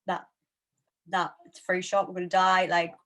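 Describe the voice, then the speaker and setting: A woman's voice: on the low side, monotone, moderate pace, a bit bright. A woman of about twenty chatting casually as a co-host, often telling stories in a giggly way.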